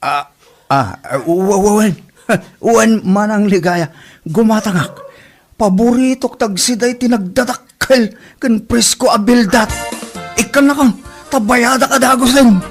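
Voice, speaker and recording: An actor with an Ilocano accent playing an excitable, lovestruck married tricycle driver in a radio comedy drama, talking in an exaggerated, smitten way. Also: male voice, high voice, a little slow, soft background music. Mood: flustered, smitten.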